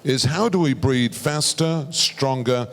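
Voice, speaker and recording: A man's voice: medium pitch, slow pace. Polished male moderator in large hall. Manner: polished, announcer-like introductions. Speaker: panel moderator. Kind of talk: formal moderating. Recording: reverberant conference hall.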